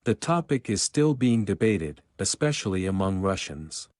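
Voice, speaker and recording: A man's voice: deep voice, very animated, normal speed, a little mellow, dry recording, clean studio sound. A man reading a prepared passage aloud as a model for exam practice. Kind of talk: scripted read-aloud narration.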